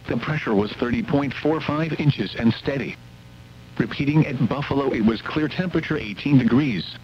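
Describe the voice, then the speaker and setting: A man reading a scripted weather forecast as a radio announcer, in a formal, measured delivery. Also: medium pitch. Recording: muffled weather radio broadcast, hum and static.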